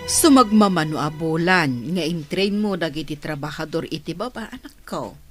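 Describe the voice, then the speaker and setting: An actress playing an older mother who calmly counsels her daughter in a radio drama. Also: low voice, normal speed, music in the background. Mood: advising.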